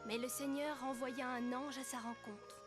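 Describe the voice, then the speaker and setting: A woman narrator giving scripted storybook narration for an animated Bible story. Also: high-pitched, normal speed, music in the background, natural voice.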